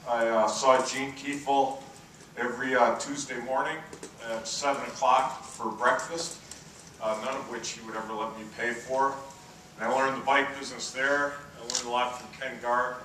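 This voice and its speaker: A middle-aged man with a booming, bright voice gives a relaxed keynote, easing his stories along with "uh" fillers. He is picked up from a distance in a very echoey conference hall. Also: slight background noise. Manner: relaxed, self-deprecating storytelling with frequent "uh" fillers. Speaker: keynote speaker.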